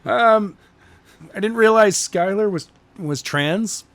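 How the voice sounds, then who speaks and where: A man's voice: high-pitched, slow, a bit bright, no room sound. A man working as an amateur narrator and podcast co-host, reading a novel and chatting casually about it.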